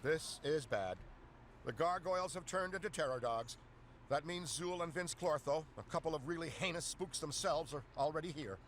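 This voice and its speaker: An actor playing an eager, excitable middle-aged Ghostbuster scientist in a video game, earnest and dramatic. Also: male voice, fairly high pitch, normal speed, a little hiss or hum, natural voice. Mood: alarmed. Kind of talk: scripted video game voice acting.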